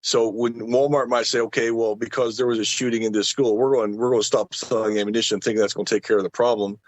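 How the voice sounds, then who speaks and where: A man's voice: mid-range voice, fairly even intonation, fast, no echo. A middle-aged man giving his opinions casually as a panel guest, with a folksy way of talking, on lo-fi audio.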